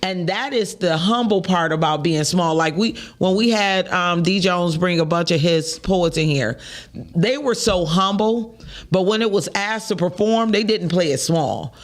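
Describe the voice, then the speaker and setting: Man, Detroit accent, high voice, lively podcast co-host. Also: a little slow. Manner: animated, chatty, laughs often. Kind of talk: casual chat.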